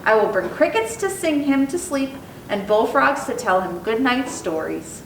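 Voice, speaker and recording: A woman's voice: very animated, moderate pace. A woman reading the part of an eager, imaginative young boy in a children's storybook, with earnest, careful phrasing. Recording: echoey room, distant mic, faint rumbling noise.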